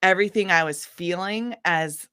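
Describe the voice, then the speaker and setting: A woman with a slightly low voice tells stories casually as an interview guest. She is animated and informal, often slipping into quoted dialogue as she goes. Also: moderate pace, clean tone. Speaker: singer-songwriter interview guest. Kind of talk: casual chatty interview storytelling.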